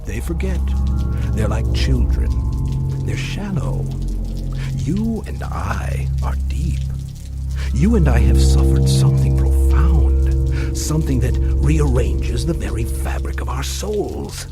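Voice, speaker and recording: Sinister ageless carnival showman, insinuating and grandiose, big pitch swings, loud music underneath. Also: big pitch swings, slow pace. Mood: seductive, grandiose. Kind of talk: audio drama acting.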